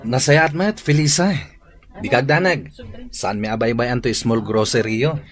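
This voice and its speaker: An actor playing a respectful young nephew in an Ilocano radio drama, polite and reassuring, with an Ilocano accent. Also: male voice, medium pitch, normal speed, soft background music, lightly acted.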